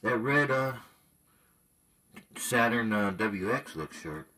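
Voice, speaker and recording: A man's voice: fairly low pitch, lively intonation, slow pace, bright, forceful tone, slight background noise. A man chatting casually about radio gear in a laid-back, colloquial way. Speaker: CB radio hobbyist.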